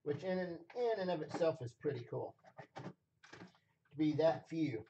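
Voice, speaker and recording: A man hosting a livestream auction with folksy, repetitive casual sales patter, his voice rough, raw and a little hoarse. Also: a little high, lively intonation, unhurried, dark tone, lo-fi sound, slight background noise. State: slightly hoarse.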